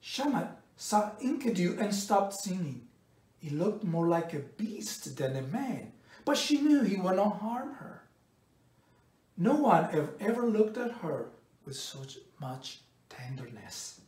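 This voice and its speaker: A middle-aged man reads a picture book aloud in a measured, expressive storytelling way, pausing between phrases. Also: high voice. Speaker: storybook reader. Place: indoors in a bright home room by a window. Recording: roomy echo, distant microphone.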